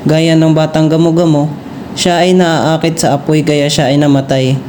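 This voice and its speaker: A man's voice: on the high side, flat delivery, moderate pace, darkish tone, close to an everyday voice. A man playing a brave, curious and respectful boy in an amateur Filipino radio drama. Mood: earnest, questioning. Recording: roomy sound with faint noise.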